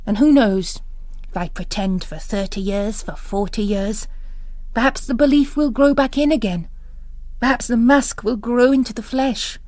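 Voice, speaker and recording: A woman's voice: medium pitch, a little mellow, no room sound, close to an everyday voice. An ageing, faithless priest, as voiced by a woman reader, agitated and full of rhetorical questions. The voice is close and dry, worldly and weary, as in a radio book reading. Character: agitated ageing male priest who lost his faith.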